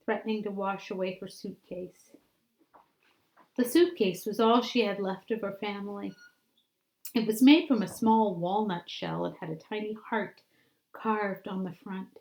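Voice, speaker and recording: A woman reading a children's story aloud like a teacher, with deliberate, dramatic phrasing that drops into hushed tones. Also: medium pitch, poor audio.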